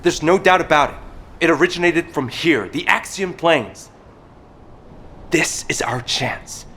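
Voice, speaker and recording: A man's voice: fairly high pitch, measured pace, pushed and bright, husky, full character voice. A man voicing an earnest, proud male tech expert in a video game, obsessive and precise, explaining things with intensity. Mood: determined.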